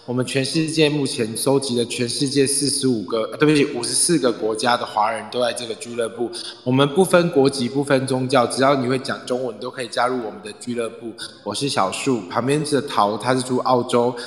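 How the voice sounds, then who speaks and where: A man's voice: medium pitch, fairly quick. Echoey man hosting an online club. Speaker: club president and host. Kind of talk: casual closing thanks to an online audio room. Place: online group audio chat room. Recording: roomy, reverberant online voice-chat audio.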